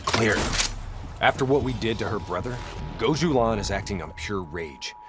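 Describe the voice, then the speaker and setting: An actor playing a sceptical special forces soldier in a video game, terse and guarded. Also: male voice, fairly low pitch, expressive, moderate pace, loud music behind the voice, natural voice. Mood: wary. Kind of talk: video game cutscene acting. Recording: clear background battle noise.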